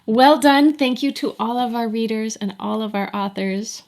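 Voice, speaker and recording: A woman's voice: fairly high pitch, moderate pace, a little mellow. A woman speaking casually as the co-host of a live event.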